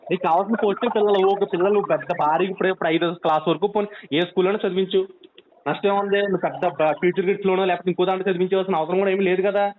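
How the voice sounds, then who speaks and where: A man's voice: high voice, steady tone, very fast, a little hiss or hum. A man with a coastal Andhra Telugu accent, pleading urgently and insistently on a phone call, admonishing and repeating himself. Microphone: telephone. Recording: tinny narrowband phone call.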